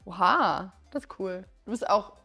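A young woman hosting a dating show, asking quick, upbeat questions. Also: medium pitch, animated, bright, forceful tone, breathy edge, soft background music. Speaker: show host. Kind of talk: casual chat.

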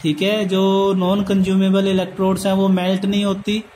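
A man's voice: high-pitched, monotone, normal speed, a little mellow, slight background noise. A man with an Indian accent giving a lecture from notes, mixing in English terms and repeating points as he explains step by step. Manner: switches between Hindi and English terms. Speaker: polytechnic lecturer.

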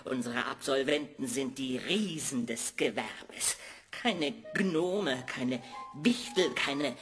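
A woman's voice: a bass voice, slow pace, soft background music. A woman playing a fussy, pompous little dwarf doctor in an audio story, scornful and impatient. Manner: pompous, muttering, exclaiming.

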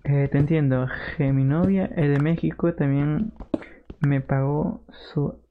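A man's voice: on the high side, fairly even intonation, slow. A young man with a Peruvian Spanish accent, chatting casually with viewers as he plays on a live stream. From Peru. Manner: chatty, reads and answers viewer messages, jokey outbursts. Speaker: gaming streamer. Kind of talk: casual live-stream chat while playing. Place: home room. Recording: slightly dull lo-fi sound with faint noise.

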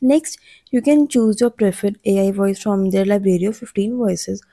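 A woman reads a scripted explainer as a channel narrator, in a measured way that sets out lists item by item. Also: medium pitch, warm tone, dry recording, clean studio sound.

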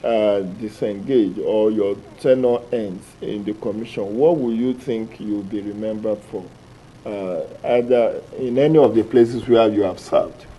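A man's voice: on the low side, a little slow, mellow, a little hiss or hum, rough recording. A middle-aged Nigerian man hosting a morning TV interview in a studio, asking long, rephrased questions. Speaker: TV show host.

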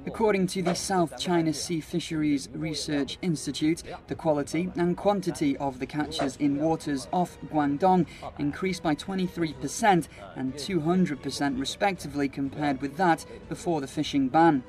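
A middle-aged man, a fisheries researcher, giving a steady, factual interview answer. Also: fairly high pitch, moderate pace, hardly any pauses.

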